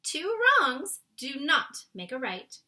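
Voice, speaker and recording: Woman as storybook Queen, regal, decisive, high lively pitch, principled. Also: takes it slowly, heavily acted. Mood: firm. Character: commanding, principled female Queen.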